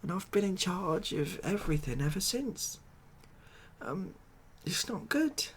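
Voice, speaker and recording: A British man doing a soft-spoken ASMR comedy roleplay as a glum, overwhelmed ex-builder, rambling along in a hesitant, deadpan way. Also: high voice, measured pace, a little hiss or hum. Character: glum, overwhelmed ex-builder made president of the world.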